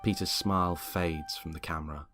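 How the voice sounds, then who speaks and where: A man's voice: deep voice, steady tone, moderate pace, bright, forceful tone, soft background music. A man narrating a script, reading out scenes and stage action.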